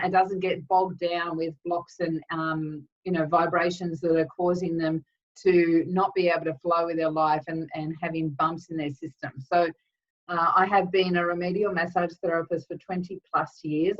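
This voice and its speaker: A woman energy healer hosting a live webinar with a slightly croaky throat, chatting casually with lots of ums and greeting viewers by name. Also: lowish voice. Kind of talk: casual live-stream talk. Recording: distant, dull lo-fi webinar audio.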